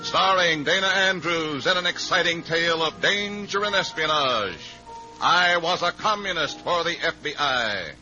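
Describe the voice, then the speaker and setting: High-pitched radio announcer man over music. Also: animated, moderate pace. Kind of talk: dramatic 1950s radio announcing. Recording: muffled 1950s radio recording with music.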